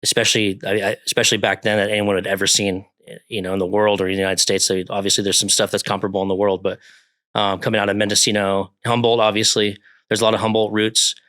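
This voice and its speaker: A man's voice: fairly low pitch, level tone, fast, a bit bright, dry recording. A man in his forties from Northern California, a podcast guest talking casually in a rambling way, with lots of fillers and restarts. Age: 40s.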